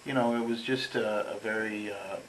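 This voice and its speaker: A man's voice: lowish voice, fairly even intonation, normal speed, low-quality recording. A man from London with a London English accent, giving a casual personal account as an interview guest. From London, England. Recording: noticeable background noise.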